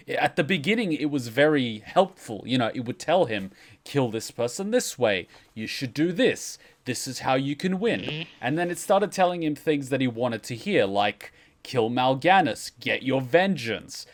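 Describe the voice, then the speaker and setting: Male host, medium pitch, casual commentary, explanatory, emphatic. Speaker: reaction video host.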